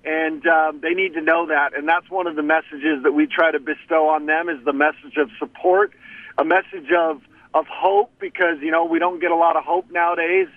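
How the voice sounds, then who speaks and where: Phone-in guest: a fifties man who is a sheriff, earnest and plain, slightly high voice, tinny line. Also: little pitch movement, moderate pace, dry recording. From Arizona. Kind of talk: casual chat over the phone.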